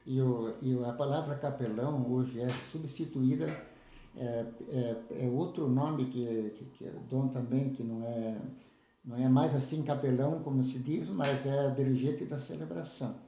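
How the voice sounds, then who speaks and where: Older man in his seventies, dark voice. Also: medium pitch, fairly even intonation, echoey room, a little hiss or hum. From southern Brazil. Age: 70s. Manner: halting, restarts with repeated words. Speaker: interviewee, lay church leader. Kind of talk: casual spoken recollection.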